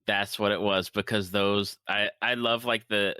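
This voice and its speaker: Deep voiced podcast host guy, kinda bright tone, dry sound, agreeable casual interviewer. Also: steady tone, a little slow, smooth, dry recording.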